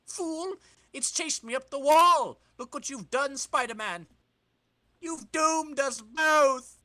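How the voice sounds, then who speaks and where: A man voicing a petulant, frantic male comic-book villain, melodramatic and shrill, in an amateur dramatic reading. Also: slow, pushed and bright, no room sound, heavily acted. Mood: panicked, furious.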